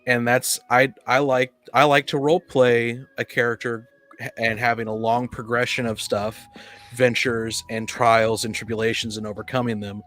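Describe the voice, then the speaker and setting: A man's voice: mid-range voice, level tone, normal speed, a bit bright. A man chatting on a discussion panel, often stammering and repeating words as he makes his point. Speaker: podcast panelist, tabletop player. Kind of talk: casual discussion of tabletop gaming.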